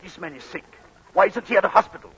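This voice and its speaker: An actor playing a nervous, suave playwright husband in an old radio comedy, courtly but hesitant and stammering. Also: male voice, sits high, lively intonation, fast, fairly bright tone, breathy edge, heavily acted. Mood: flustered, exasperated. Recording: muffled old radio broadcast recording.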